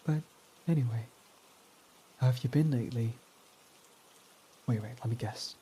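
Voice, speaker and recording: A man's voice: medium pitch, warm tone, husky, natural voice. A man voicing a prickly, snarky male villain in an ASMR roleplay, talking in a sarcastic, mocking, dismissive way.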